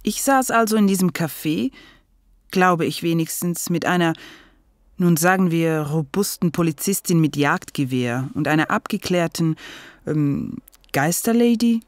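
A woman's voice: lowish voice, unhurried, no echo, studio quality, lightly acted. An actress in an audio drama narrating as a sceptical, foul-mouthed young woman. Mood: wry. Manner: sardonic, swears a lot. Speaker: narrator and protagonist.

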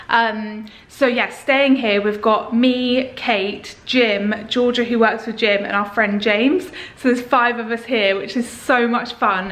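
A woman's voice: on the high side, a bit bright. Chatty woman vlogging a tour. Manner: chatty, upbeat, rambling with fillers. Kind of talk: casual vlog house tour. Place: rented house, indoors. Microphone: handheld camera at arm's length.

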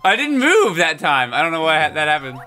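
Male gaming co-host, bright and expressive, casual banter with frequent yelps. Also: a little high, fairly quick, faint music behind. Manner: yelps and laughs when failing jumps. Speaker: let's play co-host.

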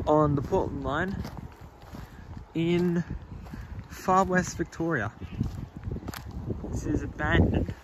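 A man's voice: fairly high pitch, slow, long pauses. An Australian man narrating a casual walk around a rural railway station on his phone, thinking aloud with fillers. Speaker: railway vlogger. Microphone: handheld phone. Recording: loud wind noise on the phone mic outdoors. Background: loud wind noise.

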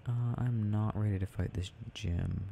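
Guy doing a sleepy sweet boyfriend rambling softly while gaming, really slow n murmury, bit of background noise. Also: on the low side, fairly even intonation, gravelly. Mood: absorbed, mildly puzzled. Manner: murmuring, dropping into whispers, hesitant self-talk. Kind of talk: soft intimate ASMR roleplay, unhurried rambling.

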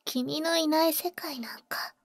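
A woman playing a devoted, tsundere female vampire in a whispered Japanese ASMR roleplay, speaking haltingly and bluntly, very close to the microphone. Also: high-pitched, dry recording. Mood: resigned, devoted. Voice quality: breathy, whispered. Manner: halting, murmured, blunt boyish phrasing. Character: protective, tsundere older-sister female vampire, tenderly devoted. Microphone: very close, dry ASMR microphone.

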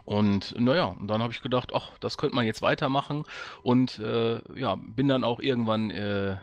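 Guy from the ruhr chatting on a podcast, average pitch, casual. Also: normal speed. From Ruhr area, Germany. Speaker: podcast guest, audio drama maker. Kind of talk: casual chat.

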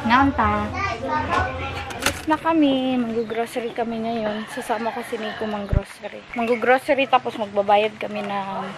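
A woman's voice: on the high side, steady tone, moderate pace, background noise. Young Filipino woman chatting in a vlog. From Philippines. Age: young adult. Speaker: vlogger. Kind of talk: casual vlog chat. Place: home kitchen, indoors, later out travelling.

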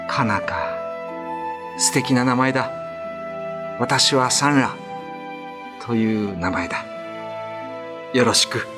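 A man's voice: medium pitch, takes it slowly, long pauses, over music, close to an everyday voice. A man playing an earnest, polite young villager in love, in a radio drama. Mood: tender.

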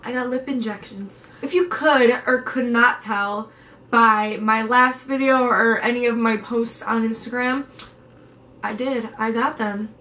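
A tired young woman with a raspy voice, chatting casually to the camera in a vlog, full of "like" and "um", filming from her bedroom and her car. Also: on the high side, fairly even intonation, a little slow, bright, forceful tone. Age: young adult. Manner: chatty, lots of fillers and "like". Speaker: vlogger. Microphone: camera at arm's length.

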